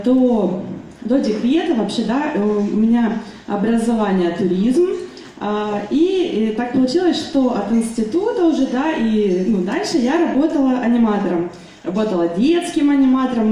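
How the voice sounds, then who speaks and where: A woman's voice: a little high, mellow, far from the mic. Hesitant young woman speaking in echoey hall. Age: 20s. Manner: hesitant, many fillers and restarts. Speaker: business meeting speaker. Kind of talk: public speech at a meeting. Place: meeting hall.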